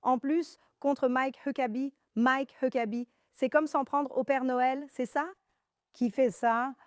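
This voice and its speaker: Sarcastic, animated woman host. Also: high-pitched, slow pace, clean tone, dry recording, clean studio sound. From Iran. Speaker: show host. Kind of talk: panel talk show discussion.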